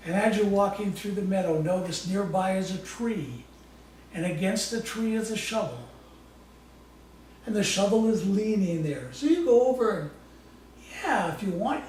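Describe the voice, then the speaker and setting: High-voiced man guiding relaxation, very echoey room. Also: darkish tone. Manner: soothing, coaxing, halting with repeated phrases; folksy, informal wording. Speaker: guest presenter demonstrating guided imagery. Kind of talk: guided imagery relaxation, spoken live. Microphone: distant microphone. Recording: echoey room from far off, faint noise.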